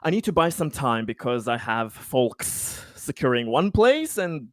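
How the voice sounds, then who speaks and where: A man with a middling pitch talks through his moves in a tabletop game. His delivery is casual and halting, full of stammered repeats. The sound is dry, with no room to it. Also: big pitch swings, no room sound. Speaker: tabletop roleplaying player.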